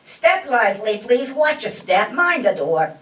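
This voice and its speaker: A woman acts out a brisk bus driver calling out orders in a radio comedy. The delivery is very animated and officious, echoing from some distance away. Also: on the high side. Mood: brisk, commanding. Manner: calls out orders. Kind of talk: old-time radio comedy acting. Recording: echoey distant live stage, slight noise.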